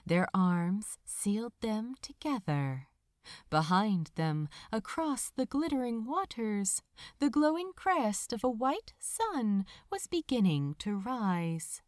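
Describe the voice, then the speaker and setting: Slow, expressive woman narrating a story. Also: medium pitch, no room sound, clean studio sound. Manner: dramatic, measured, lingering on phrases. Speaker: audiobook narrator of fan fiction.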